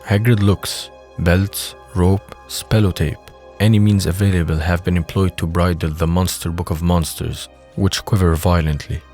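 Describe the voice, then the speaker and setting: A low-pitched man reads scripted narration for an audio drama. His delivery is measured and descriptive, setting out each scene in the present tense. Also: fairly even intonation, darkish tone, soft background music.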